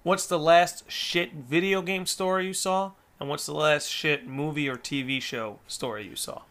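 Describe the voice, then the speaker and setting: Podcast host guy w a bright edgy voice, keeps pushing w questions, casual. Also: a little high, fairly even intonation, moderate pace. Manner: probing, playing devil's advocate. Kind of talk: casual interview.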